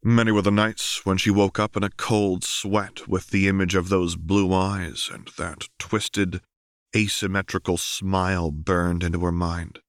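Low-voiced man narrating fiction. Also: flat delivery, a little slow, no room sound, studio quality. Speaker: audiobook narrator. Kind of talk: dramatic scripted fiction narration.

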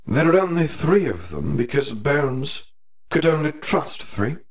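A man voicing a cold, controlled masked headmaster who speaks with quiet menace. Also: a little high, very animated, a little slow, voice pushed up, full character voice.

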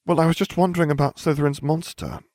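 Male narrator as a precise, concerned girl of twelve for a fantasy audiobook; higher voice, animated, very dry sound. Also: quick pace, no room sound, close to an everyday voice. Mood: earnest. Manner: earnest, softly insistent. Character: earnest, bookish twelve-year-old schoolgirl, concerned and precise.

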